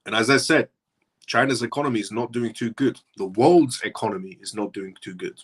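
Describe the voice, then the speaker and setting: A man's voice: on the low side, a little fast, breathy edge. A man originally from Iran, hosting a live show and talking through his points in a rambling way that circles back on itself. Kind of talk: casual livestream commentary.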